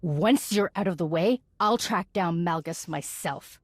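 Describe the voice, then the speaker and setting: An actress playing a righteous, angry and anguished Jedi in a video game, accusing and emotionally intense. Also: female voice, fairly low pitch, measured pace, dry recording, clean studio sound. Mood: bitter defiance. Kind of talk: video game cutscene acting.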